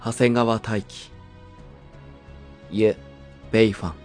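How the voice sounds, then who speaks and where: A man's voice: on the low side, steady tone, slow pace, soft background music. A man reading out the credits of an audio drama as a scripted announcement. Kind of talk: scripted narration.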